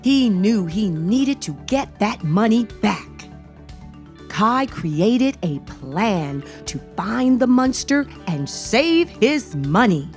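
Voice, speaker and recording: A woman hosting a children's read-aloud, narrating in an expressive, deliberate way as she addresses young listeners. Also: mid-range voice, soft background music. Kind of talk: expressive children's storybook reading.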